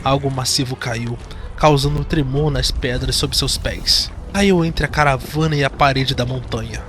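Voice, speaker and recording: Male narrator, Brazilian accent, mid-pitched. Dramatic scripted horror-fantasy storytelling over a music bed. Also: fairly bright tone.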